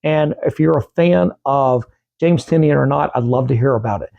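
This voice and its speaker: A middle-aged man chats casually in a collector's vlog, wandering off topic and circling back. His voice is gravelly, dark and worn from losing it, recorded close and dry with no room sound. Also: mid-range voice, moderate pace, no room sound, lo-fi sound. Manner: rambling, self-correcting, friendly sign-offs. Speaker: comic book collecting YouTuber. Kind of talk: casual vlog talk to camera.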